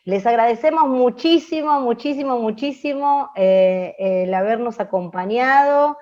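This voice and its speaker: A woman's voice: on the high side, unhurried, a little mellow. Argentine woman teaching, slightly muffled sound. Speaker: radio and podcast workshop instructor. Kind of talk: conversational open class. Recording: dull lo-fi sound.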